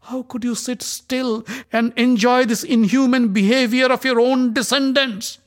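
A man voicing a wronged young queen who screams and accuses in a shrill, high voice. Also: steady tone, unhurried. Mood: outraged. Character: wronged young queen, proud, furious, desperate.

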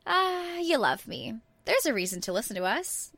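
A woman performs a self-adoring, flippant actress in a comic promo. Her voice is bright and fairly high, very animated, recorded dry. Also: moderate pace, no room sound, heavily acted. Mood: smug, flippant. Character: vain, flippant female voice actor plugging a podcast.

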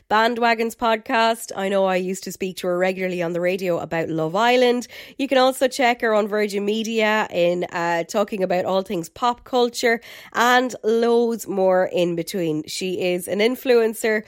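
Chatty Irish woman, a bit croaky. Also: mid-range voice, no room sound, clean studio sound. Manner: chatty and enthusiastic, with filler words. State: slightly croaky from a head cold. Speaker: podcast host. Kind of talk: casual podcast chat.

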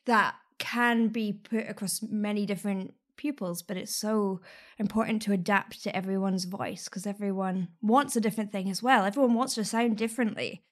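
A woman's voice: medium pitch, fairly even intonation, normal speed. A woman hosting an interview, a singing teacher who asks questions and agrees readily. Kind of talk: casual interview chat.

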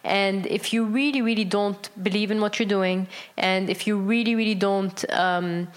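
Brief: Palestinian woman founder, earnest and rambling, lots of fillers, mid-pitched conversational voice. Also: fairly even intonation, normal speed. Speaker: business founder, interview guest. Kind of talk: conversational interview answers.

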